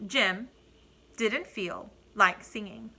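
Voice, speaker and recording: Very bright, expressive woman reading to children. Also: medium pitch. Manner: deliberate emphasis, asides to young listeners. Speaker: teacher reading aloud to children. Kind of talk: expressive picture-book read-aloud.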